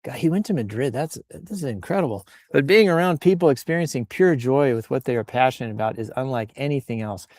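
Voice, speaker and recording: A man's voice: medium pitch, expressive, quick pace, hardly any pauses, darkish tone, dry recording, studio quality. A man hosting in a casual, improvised comic style, playfully reading posts aloud and rambling off into asides. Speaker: host reading and riffing on social media posts.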